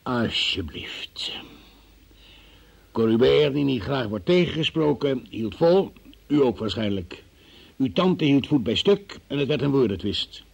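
An actor playing a relentless, methodical and sceptical male interrogator in a radio play, with a gravelly, husky voice. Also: mid-range voice, lively intonation, a little slow, exaggerated voice. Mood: methodical, insistent. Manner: insistent, probing, methodical, sceptical. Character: relentless male interrogator questioning a young murder witness. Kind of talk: Dutch radio play acting.

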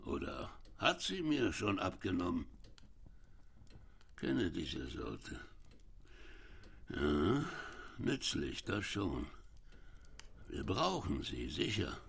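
Ein Schauspieler spielt in einem Hörspiel einen müden, grüblerischen alten Deichgrafen und misstrauischen Vater mit rauer Stimme. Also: male voice, sits low, wildly swooping pitch, very slow, gravelly, pauses often, a bit bright, husky, heavily acted. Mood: suspicious, brooding. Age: older. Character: weary, brooding old dike master and suspicious father. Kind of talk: radio play acting.

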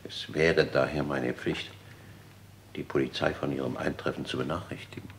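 An actor playing a dutiful, cautious manservant in a radio crime play, speaking with stiff, hesitant politeness. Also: male voice, a bass voice, little pitch movement, moderate pace, vocal fry, a little hiss or hum. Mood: deferential. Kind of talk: vintage German radio crime play acting.

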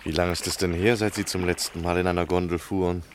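A man playing a polite, flattering gentleman journalist with formal gallantry in a 1960 German radio play. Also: low-pitched, quick pace, a little hiss or hum, close to an everyday voice. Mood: gently curious. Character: courteous, flattering gentleman journalist, smooth and persistent.

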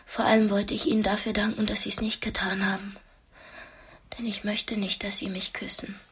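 Breathy, proud young captive. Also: female voice, medium pitch, little pitch movement, moderate pace, a bit bright. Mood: hushed, grave. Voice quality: breathy, often whispery. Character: proud, cultured young female art student held captive. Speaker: actress in a radio play. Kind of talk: radio drama dialogue and monologue.

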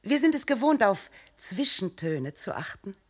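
An actress playing a poised, sharp-tongued diplomat's wife who parries a police inspector with irony, in a radio play. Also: female voice, medium pitch, big pitch swings, a little slow. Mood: ironic. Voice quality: ironic, poised.

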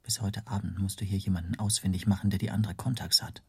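An anxious man telling his own story in a radio play. His voice is very husky and mellow, somewhat low, often sinking toward a whisper as he broods and doubts himself. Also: steady tone, quick pace, dry recording, heavily acted. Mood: urgent self-urging. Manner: brooding, introspective, hushed. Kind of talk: first-person radio play narration.

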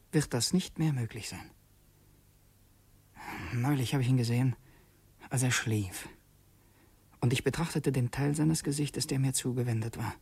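A brooding secret revolutionary in a radio drama. His voice is dark, husky and dry, and he muses haltingly, sinking toward a whisper as he weighs his thoughts. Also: mid-range voice, dry recording. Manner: halting, reflective, dropping into near-whispers. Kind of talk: German radio drama monologue and dialogue.

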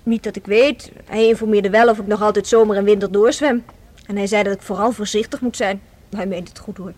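A woman's voice: medium pitch, steady tone, brisk, darkish tone, close to an everyday voice. An actress playing a playful, chatty, independent young woman with a teasing, breezy manner in a radio drama. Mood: confiding.

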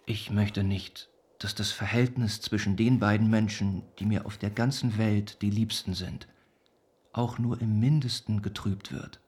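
An actor playing a kind, earnest young gentleman in a radio play, speaking gently and formally. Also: on the low side, steady tone, a little slow, husky. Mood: gently persuading. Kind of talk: German radio play acting. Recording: studio radio play with music underneath.

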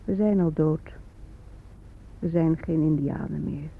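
A woman's voice: sits low, takes it slowly, creaky, breathy edge, exaggerated voice. A woman playing the weary, despairing voice of an oppressed Native people in a poetic Dutch radio drama. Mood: despairing, resigned. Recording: phone-like narrow old radio recording.

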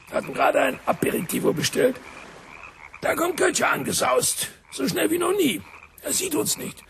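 An actor playing a gruff, chatty old British major who talks in clipped bursts, with a cough, in a radio drama. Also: male voice, extremely high pitch, full character voice. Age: older. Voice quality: frequent coughing, damaged breath. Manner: clipped telegraphic sentences, military bluffness.

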